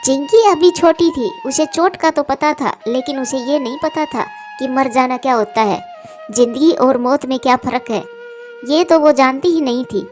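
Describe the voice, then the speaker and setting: A woman's voice: high-pitched, normal speed, darkish tone, soft background music. A woman narrator reading a scripted children's cartoon story.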